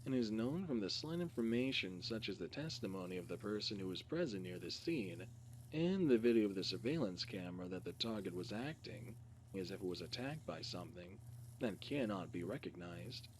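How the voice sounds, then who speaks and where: A man reads a scripted case file as narration, in a halting, word-by-word way. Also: deep voice, lively intonation. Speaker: reader. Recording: clearly noisy recording with hum.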